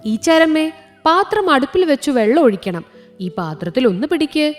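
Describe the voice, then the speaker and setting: A hardworking mother-hen of a cat, acted by a woman storyteller, patient with her friend. Her voice is very high and lilts up and down in a singsong call, made for a children's cartoon tale. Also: animated, moderate pace, soft background music. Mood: coaxing.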